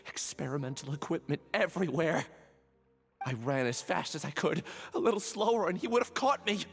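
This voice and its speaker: Freaked out young researcher, desperate and halting, voice jumps around, quiet game music. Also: male voice, on the high side, animated, creaky, husky, exaggerated voice. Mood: frightened. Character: frightened young male researcher, shaken and insistent. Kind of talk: video game voice acting. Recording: game audio under music.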